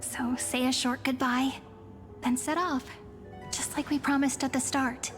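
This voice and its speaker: A woman voicing a gentle, devoted young game heroine, earnest and reassuring. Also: high voice, flat delivery, measured pace. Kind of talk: video game voice acting. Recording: game dialogue under clear music.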